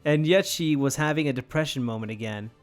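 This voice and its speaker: A man's voice: on the high side, fairly quick, music in the background. A man mockingly reading fan fiction aloud, with sarcastic, sweary casual asides. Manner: sarcastic, profane.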